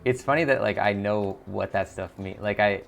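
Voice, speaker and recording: A man's voice: lowish voice, moderate pace, creaky. A man hosting a gaming video, casually commenting and reading game text aloud, often muttering to himself as he thinks.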